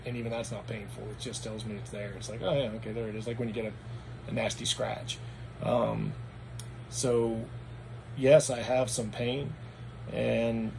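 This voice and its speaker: A man with a raspy, hoarse voice and a gravelly rasp in his middle-pitched delivery. He recounts things in a loose, meandering, matter-of-fact way, as a casual home vlog. A steady hum of background noise sits behind him. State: hoarse after anesthesia. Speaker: vlogger. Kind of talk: casual personal vlog recap.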